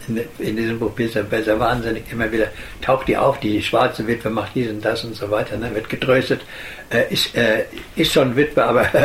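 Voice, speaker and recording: Guy thinking out loud about words, stammery and keen, lowish voice, echoey room. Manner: halting, stammering, repeats words, tags with "ne". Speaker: interviewed commentator on literature. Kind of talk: casual, enthusiastic musing. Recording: roomy echo, faint background noise.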